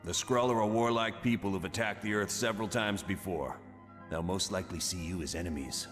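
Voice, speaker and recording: An actor playing a gruff, commanding colonel who briefs a team of heroes in a blunt, authoritative way in a video game. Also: male voice, deep voice, fairly quick, a bit bright, in a large hall, soft background music. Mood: stern briefing. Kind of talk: video game cutscene voice acting.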